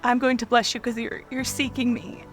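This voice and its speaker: A woman in her thirties telling personal stories casually as an interview guest, in very informal, animated speech. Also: a little high, a little fast, faint music behind. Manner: informal, animated anecdotes peppered with "like".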